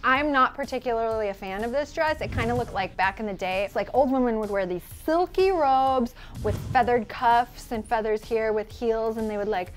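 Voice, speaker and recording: A woman's voice: fairly high pitch, normal speed, few pauses. A young woman with an American accent co-hosting fashion commentary in a casual, gushing, emphatic way. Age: young adult. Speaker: fashion show co-host. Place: indoor studio set. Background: music.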